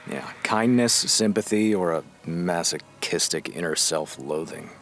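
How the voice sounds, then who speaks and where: A man playing a groggy, sardonic radio morning host in a comedy audio drama, with a dry, halting delivery. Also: low-pitched, fairly bright tone, faint music behind.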